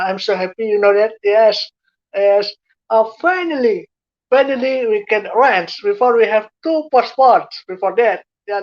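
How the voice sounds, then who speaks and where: A woman with an Indonesian accent speaks as a guest in an online interview. She stops and restarts often, filling her gaps with "um" and "you know," in a voice of ordinary pitch. The audio is muffled and lo-fi, like a video call. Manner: hesitant, many fillers and restarts.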